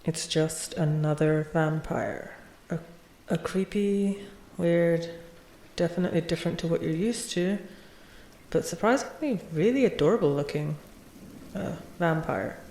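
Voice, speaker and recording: A woman playing an anxious, tender vampire noblewoman in an ASMR roleplay, speaking softly and hesitantly with sighs. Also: on the low side. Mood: nervous, formal. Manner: soft, hesitant, sighing.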